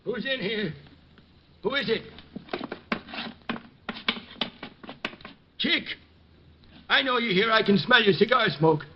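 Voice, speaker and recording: High-voiced, fearful old ex-con. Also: male voice, lively intonation, moderate pace, very noisy. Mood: terrified, pleading. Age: older. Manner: pleading, streetwise slangy speech. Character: frightened, protective old ex-convict street peddler. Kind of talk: 1940s-50s radio drama acting. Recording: dull vintage radio recording.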